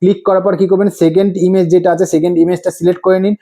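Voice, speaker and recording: Fast-talking, high-pitched man giving instructions. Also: flat delivery, vocal fry, mellow. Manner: instructive, repetitive, prompting viewers with rhetorical questions. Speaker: tutorial host. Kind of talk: step-by-step screen tutorial.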